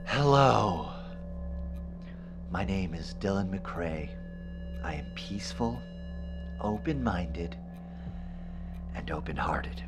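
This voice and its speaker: An actor playing a solemn occult medium, intoning ritual invocations in a measured, ceremonial way for an audio drama. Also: male voice, sits low, lively intonation, over music, heavily acted. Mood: solemn, reverent.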